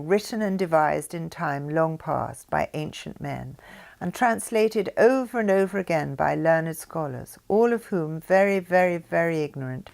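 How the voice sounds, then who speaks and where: An older woman reading a spiritual text aloud in a formal, deliberate manner, with emphasis and brief asides. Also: on the low side, creaky, a little mellow. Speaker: reader of her mother's written messages.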